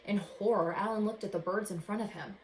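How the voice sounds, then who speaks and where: A woman teacher reading a storybook aloud to children in an expressive way. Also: mid-range voice, a little fast. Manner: expressive, addresses young listeners with questions. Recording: echoey room with faint background noise.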